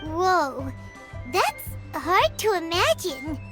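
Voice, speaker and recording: A woman voicing a tiny, childlike fairy companion, squeaky, curious and wide-eyed, in an anime-style game. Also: very animated, drawn-out words, music in the background, full character voice. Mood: amazed. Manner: wide-eyed, exaggerated, speaks of self in third person.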